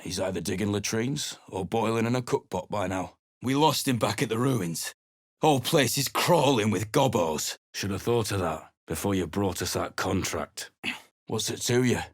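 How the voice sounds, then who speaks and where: A man's voice: lowish voice, moderate pace, dry recording, clean studio sound, natural voice. A man voicing a surly mercenary. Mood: gruff. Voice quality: gruff, blunt and sarcastic. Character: surly male mercenary adventurer, blunt and weary. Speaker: game character. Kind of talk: video game voice acting.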